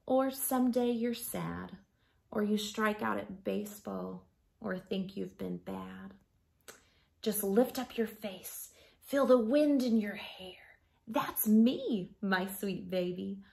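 Woman, thirties, slow pace, creaky voice, gentle storytime read-aloud for children, hushed line endings. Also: medium pitch. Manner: gentle, deliberate, expressive storytelling with softened, breathy line endings. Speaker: elementary school teacher reading to children. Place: small living room at home.

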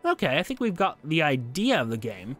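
A man's voice: on the high side, huge pitch swings, a little fast, a bit bright, soft background music. A man hosting a gaming video with casual commentary.

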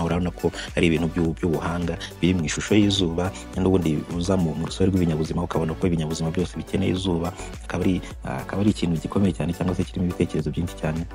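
A man's voice: low voice, a little mellow. A Rwandan man narrating a tour video, talking on with many restarts and fillers. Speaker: video presenter.

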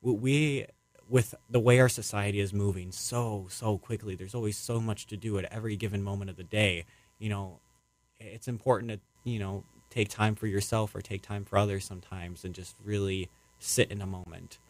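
Low-voiced young man, hesitant and reflective. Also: fairly even intonation, normal speed, dry recording. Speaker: playwright being interviewed. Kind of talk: casual interview answers.

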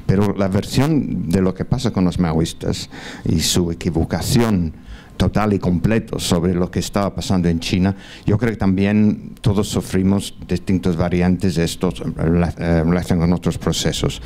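A man in his sixties speaks from the floor of a large lecture hall, holding a handheld mic. His voice sits low, and his Spanish, not his first language, stumbles along with frequent fillers and restarts. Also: steady tone, normal speed, dark tone. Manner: hesitant, many fillers and restarts. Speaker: audience member at a conference. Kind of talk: question from the floor to a panel. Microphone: handheld mic, standing in the audience.

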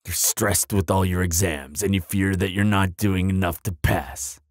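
A man voicing a proud, grumpy but soft-hearted bogeyman in an audio roleplay, with a gravelly rasp and a pompous, easily flustered delivery. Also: deep voice, fairly even intonation, moderate pace, no echo, studio quality, natural voice. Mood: grudging concern. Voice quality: raspy, gravelly. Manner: pompous, flustered stammering, dramatic.

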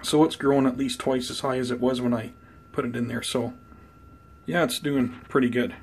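A man giving an unhurried, conversational show-and-tell as a hobby vlogger, talking casually indoors. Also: medium pitch, fairly even intonation. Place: indoors at home by plant shelves. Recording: clear background noise, room ambience.

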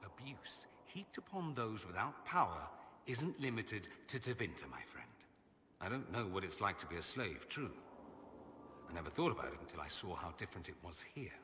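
A man's voice: deep voice, big pitch swings, moderate pace, bright, forceful tone, long reverb tail, a little hiss or hum, heavily acted. An actor playing a witty, vain male mage nobleman who talks in a sardonic, self-amused drawl. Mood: wry. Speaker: companion character. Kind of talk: video game dialogue.

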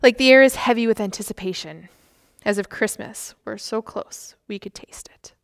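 A woman's voice: mid-range voice, moderate pace, gravelly. A woman reading scripted liturgy as a worship leader in church. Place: church sanctuary, indoors. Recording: reverberant church hall.

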